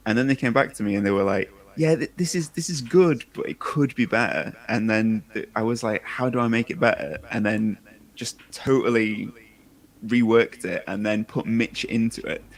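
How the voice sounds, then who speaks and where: A man's voice: lowish voice, moderate pace. A man who is an author chatting casually on a livestream in a rambling, informal, self-deprecating way. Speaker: debut sci-fi author, livestream guest. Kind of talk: casual chat.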